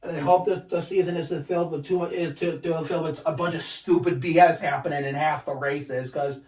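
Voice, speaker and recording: A man's voice: on the high side, steady tone, fairly quick, recorded from a distance, very noisy. A man ranting casually to his channel, blunt and profane, rambling with frequent restarts. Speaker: YouTuber. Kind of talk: casual vlog rant.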